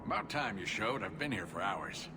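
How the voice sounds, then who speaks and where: A man's voice: low-pitched, very animated, moderate pace, background noise. A man voicing a brash, greedy dwarf merchant in a video game, talking in a cocky, blustering way.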